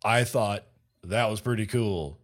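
A man's voice: on the low side, unhurried. A man hosting a solo podcast, talking casually and rambling, often correcting himself mid-sentence. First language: not Finnish. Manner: rambling, self-correcting, chatty. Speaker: podcast host.

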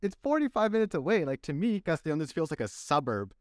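A man's voice: a little high, big pitch swings, fast talker, no room sound, studio quality. A man who grew up in Canada hosting a podcast, talking in an easygoing, conversational way. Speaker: podcast host.

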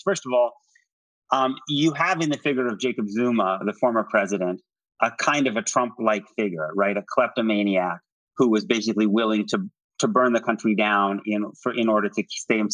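Male political commentator guest: earnest, halting, conversational, with a husky edge and very dry, close sound. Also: mid-range voice, a bit bright, no echo, rough recording. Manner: earnest, halting with repeated words, frequent "you know" and "right?".

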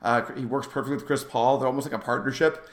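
A man's voice: mid-range voice, level tone, fast. A man co-hosting a sports podcast, casually discussing basketball and often backtracking mid-thought as he makes his points. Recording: roomy, echoey sound.

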